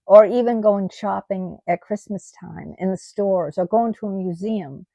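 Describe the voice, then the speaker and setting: A middle-aged woman from the United States talking in a personal vlog, halting with many ums and restarts, her voice tired and starting to go. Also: medium pitch, vocal fry, dark tone, dry recording. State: losing her voice. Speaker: vlogger.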